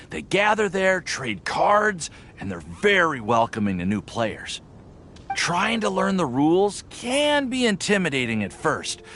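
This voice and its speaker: Earnest, higher-pitched young alchemist. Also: male voice, fairly bright tone. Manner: earnest, hesitant, friendly. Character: earnest young male alchemist researcher, eager, slightly flustered. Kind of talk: video game character acting.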